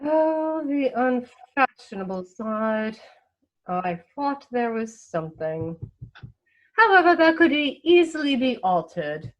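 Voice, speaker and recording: A woman playing a haughty, snobbish older aristocratic lady in a comic play reading, imperious and pompous, with deliberate enunciation. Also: on the high side, sing-song, lo-fi sound. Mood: disdainful.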